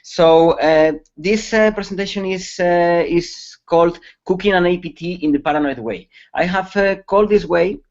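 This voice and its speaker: A man's voice: high voice, moderate pace, few pauses. Hesitant Spanish-accented man on a lo-fi webcast. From Spain. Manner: hesitant, many fillers and restarts, occasional laughs. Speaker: security conference speaker. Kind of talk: webcast presentation. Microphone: computer mic on a video call. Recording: dull lo-fi webcast audio.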